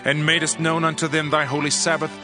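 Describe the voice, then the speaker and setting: A man's voice: on the high side, little pitch movement, a little fast, natural voice. A man playing a solemn Levite in an earnest, declamatory prayer from a dramatized scripture reading. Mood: earnest reverence. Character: solemn male Levite recounting God's deeds in prayer. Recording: music bed under the voice.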